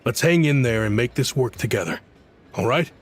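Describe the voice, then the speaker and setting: A man's voice: mid-range voice, expressive, slight background noise, close to an everyday voice. Man playing a placating, diplomatic town official. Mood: reassuring. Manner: measured, conciliatory, sighing speechmaker. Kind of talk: video game voice acting.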